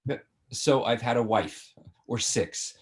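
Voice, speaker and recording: A man's voice: medium pitch, slow pace, close to an everyday voice. An older man playing a flippant, much-married sitcom father who needles his grown son in a conversational, teasing way over a video call. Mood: needling. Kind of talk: sitcom table read.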